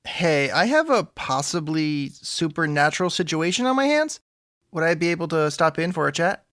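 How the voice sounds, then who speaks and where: A man's voice: a little high, very animated, normal speed, fairly bright tone, dry recording, studio quality. A man playing an earnest, awkward young superhero sidekick who politely rambles through explanations in an audio drama. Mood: eager, hurried. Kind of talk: scripted audio drama dialogue.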